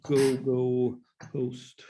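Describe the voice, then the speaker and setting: A man's voice: medium pitch, completely flat delivery, background noise. A man moderating an online info session over a video call, handing the turn to each speaker. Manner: slow, handing the turn to others.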